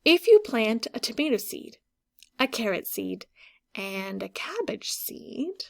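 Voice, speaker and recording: Lady teacher reading a kids picture book out loud, kinda high voice, expressive, explains stuff and asks lots of questions. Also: vocal fry. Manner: instructive, expressive, stresses key words slowly, asks questions and pauses. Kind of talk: interactive children's read-aloud with explanations and questions.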